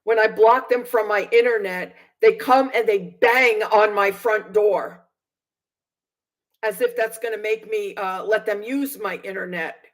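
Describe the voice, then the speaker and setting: A woman in her sixties giving personal testimony to viewers in an aggrieved, emphatic manner, repeating phrases for stress. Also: medium pitch. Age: 60s. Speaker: vlogger recounting personal experience.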